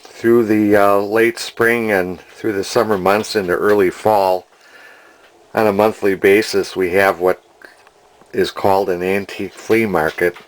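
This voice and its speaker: Male hobbyist narrator, slightly low pitch, plainspoken and unhurried in manner, unscripted. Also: flat delivery, low-quality recording. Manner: deliberate, folksy, plainspoken. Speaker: antique radio collector and restorer. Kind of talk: casual unscripted video narration.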